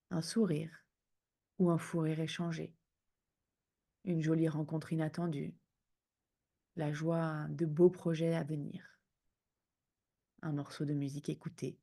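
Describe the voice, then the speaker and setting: Mellow, soothing woman guiding sleep meditation. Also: low voice. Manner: soothing, hushed, unhurried phrasing with pauses. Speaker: yoga nidra guide.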